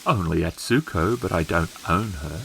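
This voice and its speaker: A man playing the gentle, plain-spoken ghost of a samurai turned farmer in a tabletop game, speaking slowly and haltingly. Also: low-pitched, gravelly, slight background noise, rough recording. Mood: quietly content.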